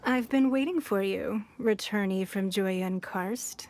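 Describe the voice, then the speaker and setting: An actress voicing a composed, wealthy and powerful woman leader in a video game, speaking formally and with measured courtesy. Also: mid-range voice, a little mellow. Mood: gracious, proud. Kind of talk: scripted video game cutscene dialogue.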